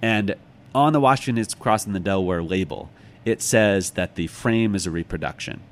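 A man's voice: fairly low pitch, moderate pace. An American man talking in a conversational interview, explaining things reflectively as a guest. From Chicago, United States. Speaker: interview guest, author and former museum guard. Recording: clearly noisy background.

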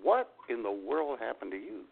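A man's voice: medium pitch, sing-song, moderate pace, creaky, breathy edge. A man hosting a comedy podcast, chatting casually in a wry, mock-solemn way. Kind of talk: casual joking banter. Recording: thin phone-like band-limited audio.

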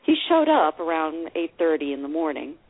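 An actress plays a reflective older divorced woman telling her memoir, tender and easily hurt. Her voice is very low for a woman and moves expressively. It comes dry and thin, as through a phone or small radio. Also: sing-song, moderate pace, no room sound, phone-quality sound, heavily acted. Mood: anxious, reflective. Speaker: actor reading a memoir. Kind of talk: dramatized first-person memoir reading.

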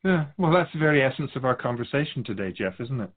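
A man speaking as a spiritual guide, explaining things in a measured, didactic, conversational way. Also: medium pitch, expressive, phone-quality sound.

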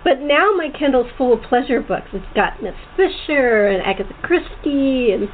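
A woman's voice: sits high, animated, normal speed. A woman hosting a casual interview, chatting in a giggly, rambling way. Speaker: talk show host. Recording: tinny phone line with clear background noise.

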